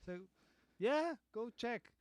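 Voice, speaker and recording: A man, a bass player co-hosting a studio livestream, talking in an easygoing way with frequent pauses and restarts. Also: expressive, no room sound. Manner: halting, many ums and restarts, easygoing. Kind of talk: casual livestream chat. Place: recording studio.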